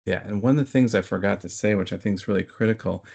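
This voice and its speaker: Man, low gravelly voice, measured explanatory delivery, hesitant phrasing, expert guest in an interview. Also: darkish tone.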